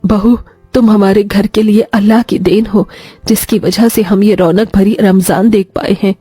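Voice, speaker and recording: A woman voicing a loving, emotional older mother-in-law in a moral story, gentle and tender. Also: medium pitch, steady tone, moderate pace, slightly rough, no room sound, soft background music, natural voice. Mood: grateful.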